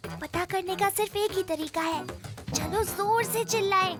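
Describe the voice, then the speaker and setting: A woman voices a small, curious zebra boy in a children's cartoon. The voice is extremely high and childlike, with slow, earnest delivery over music. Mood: earnest, wary. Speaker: voice actor.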